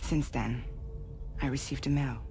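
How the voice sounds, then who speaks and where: A composed, serious woman briefing in a game cutscene. Her voice is low and mellow with a creaky, husky edge, over faint music. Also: steady tone, measured pace. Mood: grave, measured. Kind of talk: video game cutscene acting.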